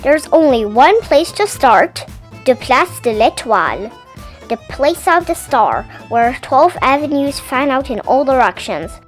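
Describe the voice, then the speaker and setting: A woman reading a children's picture book aloud as an expressive storyteller, animated and careful with her words. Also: high voice.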